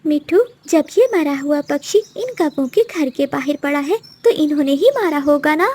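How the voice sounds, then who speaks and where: A woman's voice: high-pitched, expressive, normal speed, darkish tone, no echo. A woman voicing a scheming, vengeful female bird with an accusing, dramatic manner in a moral-story cartoon. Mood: accusing, alarmed. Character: vengeful, scheming female bird plotting against wicked crows.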